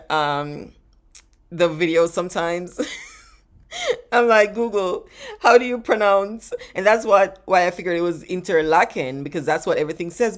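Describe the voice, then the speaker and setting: A woman with a Jamaican accent, chatting casually and rambling as she answers live-stream questions, often laughing and repeating words. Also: on the low side. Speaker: travel vlogger answering live chat questions.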